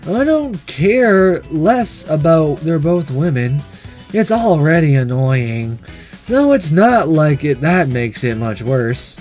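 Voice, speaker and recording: A man's voice: fairly high pitch, big pitch swings, slow, darkish tone, soft background music. A man voicing a sleepy, detached schoolgirl who narrates a visual novel in a weary, blunt, matter-of-fact way. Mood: mildly annoyed.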